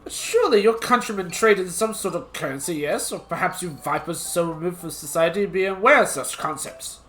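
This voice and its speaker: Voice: mid-range voice, fairly quick, fairly bright tone, faint music behind, natural voice. A streamer voicing a prim, haughty guild weaver who speaks in a formal, dry, condescending way. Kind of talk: reading game dialogue aloud on stream.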